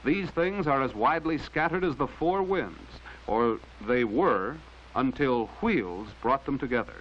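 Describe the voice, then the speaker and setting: A man's voice: mid-range voice, expressive, a little slow, a little hiss or hum, low-quality recording. An American man delivering earnest, rhetorical narration in the proclaiming style of a 1950s educational film. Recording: vintage 1950s film soundtrack with loud music. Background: background music.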